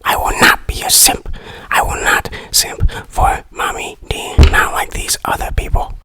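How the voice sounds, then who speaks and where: A person speaking in a breathy whisper, giving a playful, tongue-in-cheek ASMR game review. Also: a little slow, bright, forceful tone.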